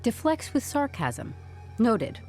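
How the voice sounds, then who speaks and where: An actress playing a composed, stubborn HR newcomer in an audio drama, speaking with deadpan corporate politeness and wry candour. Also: female voice, a little high, takes it slowly, creaky, faint music behind, exaggerated voice. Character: composed, stubborn young HR newcomer turning interrogation into interview.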